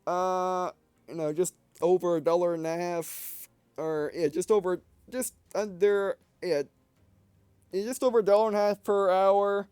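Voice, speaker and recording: A man's voice: high voice, fairly even intonation, measured pace, darkish tone, clear, resonant tone, no room sound. A man giving casual, unscripted game commentary as a let's-play host, rambling and hesitant, often correcting himself mid-sentence.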